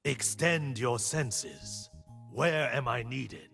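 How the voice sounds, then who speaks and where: A man playing a disciplined, resolute blind warrior monk for a video game, speaking in solemn, measured aphorisms. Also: medium pitch, creaky, a bit bright, faint music behind, exaggerated voice. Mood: disciplined, determined.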